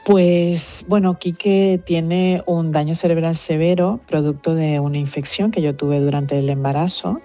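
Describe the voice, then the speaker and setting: A middle-aged woman with a Venezuelan accent, speaking thoughtfully as an interview guest, pausing with fillers as she reflects. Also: low voice, steady tone, mellow, lo-fi sound, soft background music. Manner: reflective, earnest, with fillers and restarts. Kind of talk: conversational interview.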